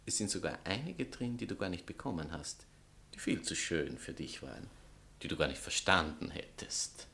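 Vain, wildly animated literary lover. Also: male voice, low voice, normal speed, gravelly, heavily acted. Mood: smug. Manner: theatrical, sarcastic, easily agitated. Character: vain, nervous male writer, sardonic former lover. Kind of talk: satirical radio play dialogue.

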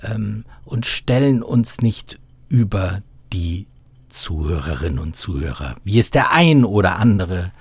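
A man from Bavaria speaking standard High German as an interview guest, thoughtful and reflective, with halting phrasing. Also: sing-song, vocal fry, husky, dry recording. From Bavaria, southern Germany. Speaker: guest, theatre festival director.

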